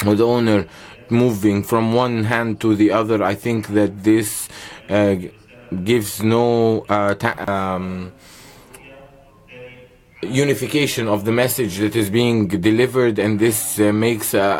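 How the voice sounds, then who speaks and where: A man with an Egyptian accent interpreting a conference panel into English simultaneously, halting often and correcting himself mid-phrase. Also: on the low side, a little mellow. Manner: halting, many pauses and self-corrections while keeping up. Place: conference hall interpreting booth.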